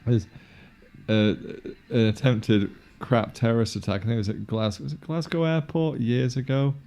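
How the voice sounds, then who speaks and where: Young northern English man, gravelly and dark-toned, sardonic co-host who laughs a lot. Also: mid-range voice, steady tone, moderate pace, faint music behind. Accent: northern English, Yorkshire-inflected. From northern England. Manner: dry, sardonic, laughs often. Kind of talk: casual film commentary chat.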